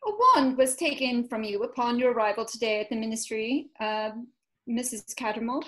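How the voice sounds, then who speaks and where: A cold, accusing official , acted by a woman in a script read. She speaks in a fairly high voice, measured and formal. Her tone talks down to whoever she questions. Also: fairly bright tone, lightly acted. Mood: formal, officious. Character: cold, accusing female government official running an interrogation. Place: home, video call.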